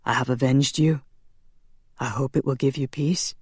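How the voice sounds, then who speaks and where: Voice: mid-range voice, fairly even intonation, moderate pace, slightly rough, dry recording, clean studio sound, lightly acted. A narrator playing a centuries-old male vampire warrior, grim and tormented, with a grave, restrained manner in an audiobook.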